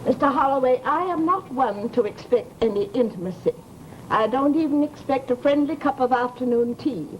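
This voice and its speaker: A woman's voice: high voice, moderate pace, slight background noise, lightly acted, low-quality recording. An actress playing a nosy, aggrieved widowed landlady with an American accent in an old-time radio horror drama, talking in a garrulous, dramatic way.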